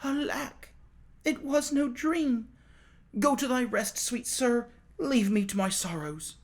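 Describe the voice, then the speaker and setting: A storyteller voicing an excitable poor young boy in a storybook reading, with a raised, eager voice. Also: a little slow. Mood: fearful. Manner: bright, eager, high-pitched.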